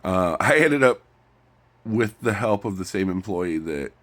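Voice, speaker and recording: A man with a stuffy nose chats casually in a personal vlog, rambling and halting, often repeating his phrases. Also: sits low, expressive, fairly bright tone. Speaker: vlogger. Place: recorded lying down.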